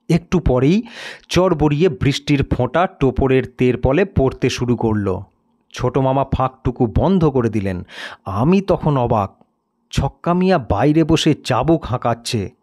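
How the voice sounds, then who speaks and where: A man's voice: mid-range voice, darkish tone. A man narrating a scripted story in a dramatic storytelling style.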